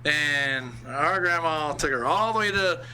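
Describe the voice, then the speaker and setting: An easygoing man, born in California, hosts a casual stream and rambles through family stories with plenty of uh's. His voice is very bright and a little high, with faint noise behind it. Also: lively intonation, unhurried. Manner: rambling, many uh fillers, easygoing. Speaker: host. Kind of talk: casual storytelling on a livestream.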